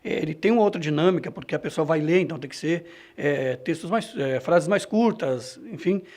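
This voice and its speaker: A man answering interview questions about his own experience, in a halting, self-correcting way. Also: fairly high pitch, darkish tone. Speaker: radio reporter and presenter being interviewed. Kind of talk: reflective interview answer, personal anecdotes.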